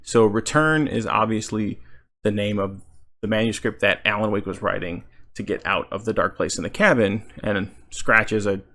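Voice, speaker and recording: A man giving casual video game commentary as a channel host, halting as he thinks aloud. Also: on the low side, sharp tone.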